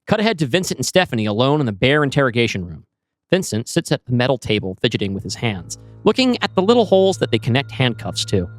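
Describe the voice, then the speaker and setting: A man's voice: medium pitch, very animated, fast talker, dry recording. A man narrating a scripted screenplay in the present tense with a dramatic storytelling manner.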